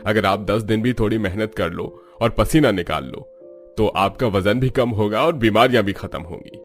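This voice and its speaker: A man voicing a grave, advising older man in a cartoon family story, measured and explanatory. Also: on the low side, soft background music, lightly acted. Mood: earnest, advising. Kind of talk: Hindi cartoon story voice acting.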